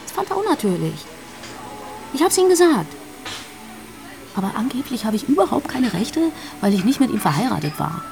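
An actress playing a bitter, grieving young pregnant girlfriend in a naturalistic radio drama, speaking haltingly and emotionally. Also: on the high side, big pitch swings, vocal fry, a little mellow, faint music behind, rough recording. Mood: bitter, hurt. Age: young adult.

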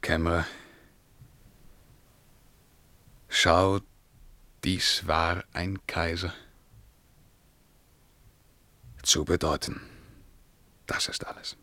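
An actor playing a brooding, imperious emperor in a 1950s German radio drama, declaiming verse solemnly and with command. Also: male voice, low-pitched, flat delivery, takes it slowly, sharp tone, dry recording, heavily acted. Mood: shaken, brooding.

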